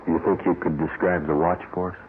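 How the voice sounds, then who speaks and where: A man's voice: sits low, little pitch movement, brisk, a little hiss or hum, close to an everyday voice. An actor playing a stoic male police detective sergeant, narrating a 1950s radio crime drama in a terse, matter-of-fact way. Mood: polite, businesslike. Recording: muffled old radio broadcast, loud music.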